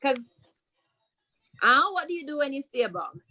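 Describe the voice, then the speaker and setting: A woman's voice: high voice, lively intonation, normal speed, sharp tone, rough recording. A woman, a school principal leading a question-and-answer chat with children, prompting them and repeating questions and answers back.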